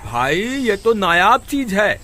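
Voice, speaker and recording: Guy doing greedy scheming village crook for kids cartoon, high animated voice, noisy recording. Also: slow, heavily acted. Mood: curious, greedy. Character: greedy, scheming village thief hawking a magic dog. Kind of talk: children's cartoon story dialogue. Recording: noisy background.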